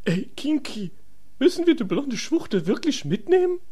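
A man voicing a brash, slangy young adventurer with a raspy voice in a comedy fantasy audio drama. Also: very high voice, sing-song, normal speed, warm tone. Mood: wary, loud. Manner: street-slang, easily outraged.

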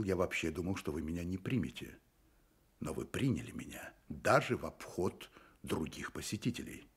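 Radio drama casting: wry, defiant detective, low husky voice, very lively delivery. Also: male voice, exaggerated voice. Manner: sardonic, challenging. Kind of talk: radio play acting.

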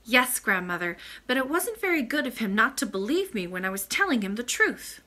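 An earnest little princess from a storybook, as acted by a woman reader. Her high voice swoops with feeling and rings out brightly. She pleads and exclaims indignantly. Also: sing-song, fairly quick. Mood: eager. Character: emotional, earnest young princess, a little girl.

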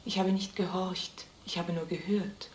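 A woman's voice: fairly low pitch, fairly even intonation, measured pace, close to an everyday voice. An actress playing a guarded single mother from a village, hesitant and wary, in a crime drama. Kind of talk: mid-century German crime film dialogue. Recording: noisy, echoing old film soundtrack.

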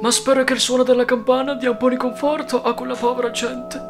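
Man voicing a high-pitched, fiery cartoon friar. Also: little pitch movement, quick pace, music in the background. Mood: gentle, sorrowful. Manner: emphatic, theatrical. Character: kindly, fiery male friar, stout church animal. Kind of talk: amateur cartoon dub.

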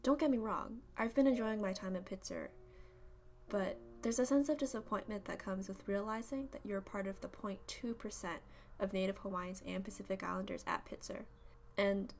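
A young woman from San Francisco, narrating her student documentary in a steady, earnest scripted read. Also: mid-range voice, creaky, a little hiss or hum. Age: young adult.

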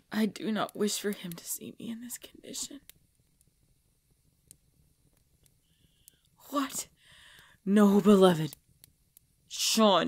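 Woman acting as proud vampire woman, pregnant and suffering, medium pitch, very unhurried, formal and dramatic, ASMR audio roleplay. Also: a little mellow, natural voice. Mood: commanding. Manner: slow, formal, dramatic, often dropping to whispers.

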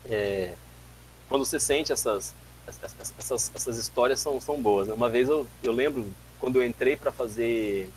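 A man in his forties, from Brazil, giving an animated physics lecture over a video call from a home office, telling stories with frequent restarts. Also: mid-range voice, moderate pace, a little hiss or hum, low-quality recording. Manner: animated, gestures a lot, tells anecdotes with many restarts. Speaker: guest lecturer, physics teacher. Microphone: webcam mic on a video call.

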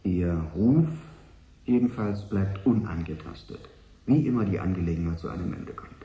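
A man acting as a calculating corporate envoy in a German radio play, speaking with smooth, insinuating deliberation. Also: deep voice, expressive, moderate pace, mellow, roomy sound, poor audio. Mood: coolly persuasive. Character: calculating corporate envoy offering a sinister bribe.

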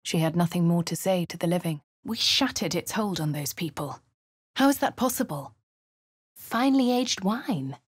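A posh adventurer. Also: female voice, lowish voice, expressive, moderate pace, husky, dry recording, studio quality. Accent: posh English. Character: posh refined female adventurer, composed. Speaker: player character voice lines. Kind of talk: game voice lines and narration.

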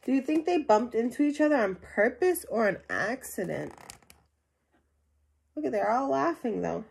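Young woman, fairly high voice, slightly rough tone, read-aloud for kids, questioning asides, recorded at home. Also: sing-song, moderate pace, a little mellow. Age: young adult. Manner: child-directed, questioning asides. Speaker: storybook reader. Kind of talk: reading a children's picture book aloud, asking young listeners questions. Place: small indoor room at home.

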